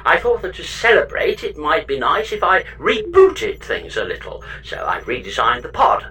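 Wildly swooping, excitable pod computer. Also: male voice, fairly high pitch, moderate pace, distant mic, heavily acted. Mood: coy, gentle. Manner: theatrical, exuberant. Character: excitable, devoted, campy spaceship pod computer companion. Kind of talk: comedy sci-fi audio drama acting.

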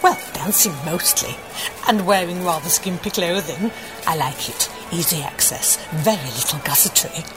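An actress playing a gushing, cheeky middle-aged mum in an audio comedy drama, fond and a little saucy. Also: female voice, fairly low pitch, normal speed, natural voice, low-quality recording. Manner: gushing, scolding fondly. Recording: music under the voice.

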